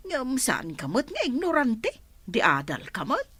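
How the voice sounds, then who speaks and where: Husky, lilting older mother played by a woman. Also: a little high, wildly swooping pitch, unhurried, pushed and bright, full character voice. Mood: motherly concern. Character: gentle, ailing older mother, doting on her daughter. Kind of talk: Ilocano radio drama acting.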